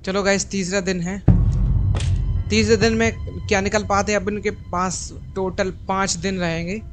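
A man's voice: sits high, little pitch movement, normal speed. A young man giving casual commentary as host of a gaming video, with game music behind him.

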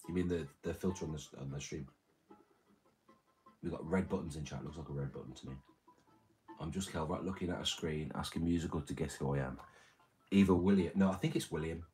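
A man in his thirties with a very deep, slightly damaged voice, chatting casually and crudely while reading his livestream chat in a small room. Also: fairly quick, pauses often, a little mellow, faint music behind. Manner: rambling, crude, jokey. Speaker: livestream host. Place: small room with red curtain and red light.

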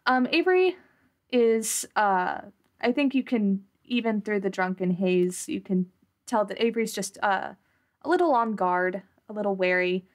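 A woman's voice: medium pitch, expressive, unhurried. A woman improvising narration as a player in a tabletop role-playing podcast, thinking aloud hesitantly.

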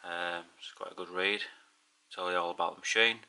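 A man's voice: low voice, steady tone, a little fast, pushed and bright, clean tone. A middle-aged man with a Yorkshire accent giving a plain-spoken, slightly hesitant casual vlog narration at home. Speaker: video host. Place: indoors in a home living room.

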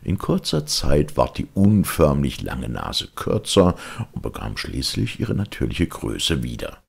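A man narrating a children's audiobook in an expressive, theatrical storytelling style. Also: rumbling low, unhurried, creaky, husky.

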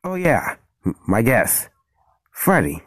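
Man voicing excitable young game adventurer, amateur cartoon skit, stammering, slow overall, dry close sound. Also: mid-range voice, dry recording, full character voice. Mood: flustered. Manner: stammering, exclaiming. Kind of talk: amateur animated skit dialogue.

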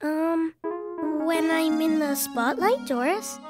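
Earnest, high-pitched little raccoon boy. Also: takes it slowly, over loud music. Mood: worried, downcast. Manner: earnest, expressive, eager but easily discouraged. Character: enthusiastic, anxious five-year-old raccoon boy in kindergarten. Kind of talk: children's animated cartoon acting.

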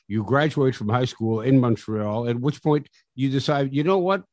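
A man's voice: medium pitch, a little mellow, dry recording, studio quality. Man hosting a podcast interview. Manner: halting, repeats words. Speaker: podcast host.

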